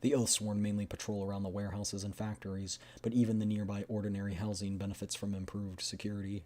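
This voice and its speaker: Low-voiced male game streamer. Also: flat delivery, quick pace, mellow. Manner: casual, thinking aloud. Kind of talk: game readthrough with casual commentary.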